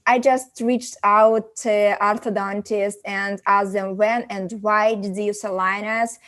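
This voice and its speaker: A woman with a Russian accent speaks as a guest on an interview show. Her voice is of average pitch for a woman. She explains in a conversational, halting way, often restarting and rewording her phrases. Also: fairly even intonation, fairly bright tone. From Moscow, Russia. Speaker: interview guest, company founder and doctor.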